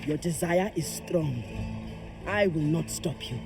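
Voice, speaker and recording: An actor voicing a solemn island champion in a video game, speaking formally and with measured dignity. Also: male voice, a little high, animated, creaky, over music, heavily acted. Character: solemn champion and truth bearer of an island people. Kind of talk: video game character acting.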